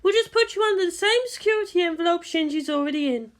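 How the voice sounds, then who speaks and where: An exasperated woman guardian from an anime-style story, acted by a woman reader. She speaks high and emphatically, insistent and bossy, yet friendly underneath. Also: animated, fast.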